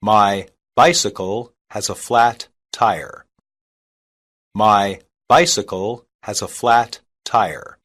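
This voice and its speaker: A reader saying short English practice sentences slowly and evenly, each one twice, for a language lesson. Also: medium pitch, fairly even intonation, a bit bright, breathy edge. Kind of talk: slow repeated phrase reading.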